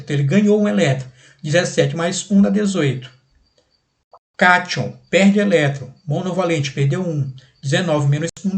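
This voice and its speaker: A man with a Brazilian accent teaching a recorded lesson, explaining exercises step by step with repeated steps and frequent check-in questions. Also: high voice. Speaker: chemistry teacher.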